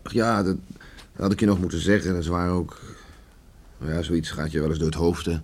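Deep-voiced, stubborn farmer father. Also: steady tone. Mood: evasive, hesitant. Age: middle-aged. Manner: brooding, halting, muttering to himself. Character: stern, stubborn farmer father, troubled by remorse. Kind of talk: Dutch radio play acting. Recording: faint background noise on radio recording.

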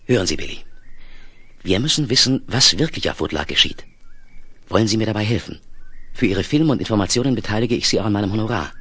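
An actor playing a crafty, daring, smooth-talking photo reporter in a 1970s German radio play. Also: male voice, lowish voice, expressive, fast, long pauses, a bit bright, no room sound. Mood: persuasive.

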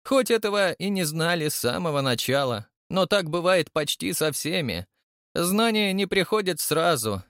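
Man voicing a solemn, stern woman elder, pitched high. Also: big pitch swings, normal speed, fairly bright tone, dry recording, studio quality. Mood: solemn persuasion. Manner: solemn, formal, persuasive.

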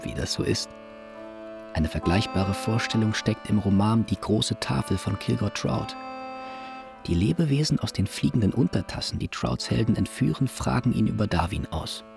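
An actor playing a matter-of-fact narrator in a literary radio drama, with a dry, expository delivery. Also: male voice, lowish voice, normal speed, gravelly, music in the background. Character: matter-of-fact male narrator of strange alien lore. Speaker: second narrator.

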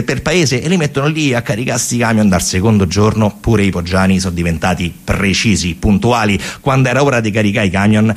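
Man, husky lowish voice, theatrical storytelling, emphatic repetition. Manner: emphatic, repeats phrases for effect. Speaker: narrative theatre actor. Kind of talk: dramatic oral storytelling monologue.

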